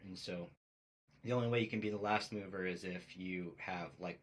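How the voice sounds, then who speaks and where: A man in his forties with a very low, deep voice chats on a casual podcast. He tells his story in a reflective, rambling way, full of fillers and restarts. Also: a bit bright. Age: 40s. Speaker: podcast co-host.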